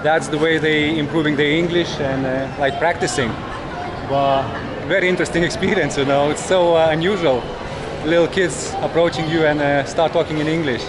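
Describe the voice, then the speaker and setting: A man's voice: fairly high pitch, steady tone. Hesitant middle-aged male vlogger, street noise. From Europe. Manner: hesitant, halting, many filler pauses. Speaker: travel vlogger. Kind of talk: casual walking narration. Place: busy city streets outdoors.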